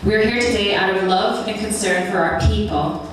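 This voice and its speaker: A young woman from Ethiopia giving an earnest, measured prepared speech at a public meeting. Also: medium pitch, slight background noise. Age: young adult. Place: large meeting hall. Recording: echoey hall recorded from far off.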